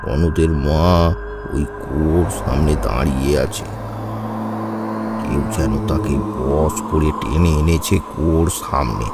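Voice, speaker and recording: Guy doing a really deep serious village uncle who hunts ghosts, horror cartoon, music behind. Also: full character voice. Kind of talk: dramatized horror cartoon dialogue.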